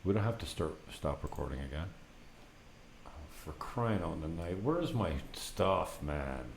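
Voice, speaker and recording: A man's voice: very deep voice, expressive, unhurried, gravelly. A man hosting a casual, unscripted podcast from an apartment, rambling through anecdotes in an unfiltered way with some mild swearing. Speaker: talk show host. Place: apartment set up for recording. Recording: noticeable background noise.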